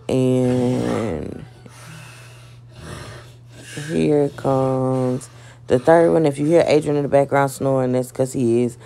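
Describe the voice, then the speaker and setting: Woman, low voice, gravelly edge, relaxed home cooking chat. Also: slight background noise. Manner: relaxed, chatty, talks viewers through steps. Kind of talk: casual cooking vlog, voice-over narration. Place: home kitchen.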